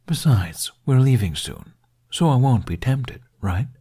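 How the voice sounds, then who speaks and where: A man's voice: medium pitch, lively intonation, a little slow, warm tone, breathy edge, voice pushed up. A man voicing a cheeky, gluttonous male dragon who teases and plays innocent in a storybook. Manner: cheeky, teasing.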